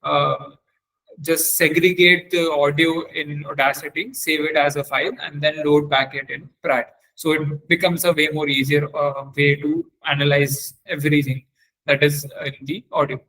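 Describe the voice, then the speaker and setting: A man presenting a live software demonstration as a webinar trainer, speaking haltingly and often repeating or correcting himself. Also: on the high side, little pitch movement, rough recording.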